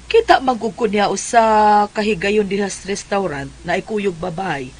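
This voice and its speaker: A woman's voice: mid-range voice, normal speed, breathy edge, lightly acted. An actress playing an inquisitive, gossipy older female relative in a radio drama, chatty and probing. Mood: prying, gossipy.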